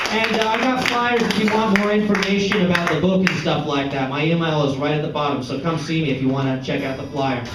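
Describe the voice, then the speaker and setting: Man, live literary reading, higher-than-average voice, occasional stumbles, far from mic, loud guitar music. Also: heavy reverb. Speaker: author reading his novel aloud. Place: art gallery, live event. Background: loud plucked guitar music.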